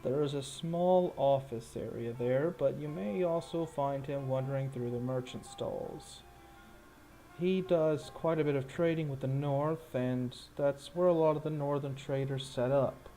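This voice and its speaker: A man playing an unhurried town official in a tabletop game, speaking in a hesitant, deliberate way. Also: medium pitch, mellow, faint music behind, close to an everyday voice, poor audio. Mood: helpful, measured. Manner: slow, deliberate, pondering.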